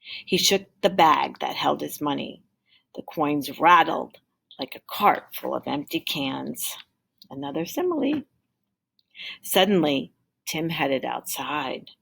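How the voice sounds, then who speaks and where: Low, creaky-voiced woman reading a storybook. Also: lively intonation, slow, a bit bright. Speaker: teacher reading a picture book aloud. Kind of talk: expressive storybook read-aloud.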